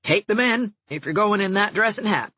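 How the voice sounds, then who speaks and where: A woman's voice: medium pitch, expressive, normal speed, breathy edge, no room sound, narrow, tinny audio, pitched above the performer's own voice. A woman voicing an indignant, bossy housekeeper in an audiobook, emphatic, scolding and folksy. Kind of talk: audiobook character voice.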